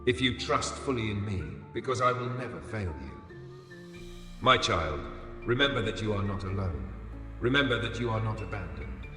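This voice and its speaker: Deep-voiced man in echoing devotional narration. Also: expressive. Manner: solemn, soothing, slow deliberate short phrases with repetition. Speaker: devotional narrator speaking in the voice of God. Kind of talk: scripted inspirational religious narration. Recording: echoey, long reverb over music. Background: music.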